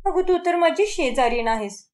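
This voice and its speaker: A woman's voice: high-pitched, animated, moderate pace, a little mellow. A woman playing a whiny, work-shy young daughter in a cartoon moral story, complaining and coaxing.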